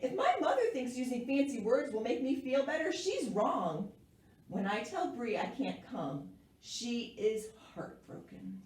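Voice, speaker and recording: A woman's voice: fairly high pitch, big pitch swings, unhurried, a little mellow, voice pushed up. Woman reading as a theatrical, precocious little girl, echoey room. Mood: sulky, indignant. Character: dramatic little girl fond of fancy French words. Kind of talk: children's picture book read-aloud. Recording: echoey room, distant microphone.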